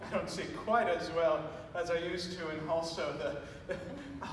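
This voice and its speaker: A man's voice: flat delivery, moderate pace, lightly acted. A man playing a flustered, courteous elderly British general in a stage play, speaking in stammering, old-fashioned formal phrases. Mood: astonished. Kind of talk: student stage play acting. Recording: echoey hall, distant mic, faint rumbling noise.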